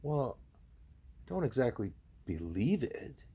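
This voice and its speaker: A man's voice: medium pitch, big pitch swings, slow pace, mellow, slightly rough, full character voice. A man voicing a stubborn, frank young girl pupil in a storybook, blunt and casual, sometimes hesitant.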